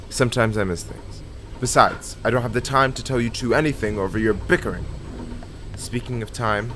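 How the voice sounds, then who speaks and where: Exasperated male mentor, played by a man, lowish voice, dry and tired, audio drama with background noise. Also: close to an everyday voice. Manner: dry, grumbling. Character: weary, exasperated male mentor of bickering trainees. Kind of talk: scripted audio drama acting.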